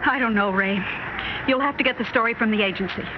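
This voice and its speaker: Actress as an earnest, worried American wife, muffled recording. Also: female voice, on the high side, quick pace, close to an everyday voice. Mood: guarded. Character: astronaut's worried wife, earnest, guarded, wistful. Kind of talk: vintage radio drama acting. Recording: noisy, muffled vintage radio recording.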